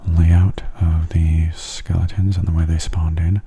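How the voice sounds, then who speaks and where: A man giving soft-spoken ASMR commentary over a game in a hushed, breathy murmur, calm and unhurried, close to the microphone. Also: low-pitched. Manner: calm, unhurried, musing aloud. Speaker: gaming ASMR host.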